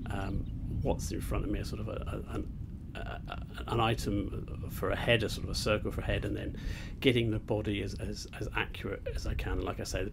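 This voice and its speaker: Man, low gravelly voice, hesitant art tutor, beach sketching talk, noisy outdoor sound. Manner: hesitant, many fillers and restarts. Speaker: art teacher. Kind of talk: sketching demonstration narration. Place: outdoors on a beach, seated on rocks.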